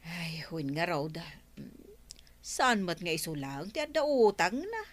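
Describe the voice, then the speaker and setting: An actress with an Ilocano accent playing a sassy, chiding village woman in a radio comedy drama, expressive in delivery. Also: on the low side, unhurried. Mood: scolding. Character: sassy village woman, teasing and scolding debtors.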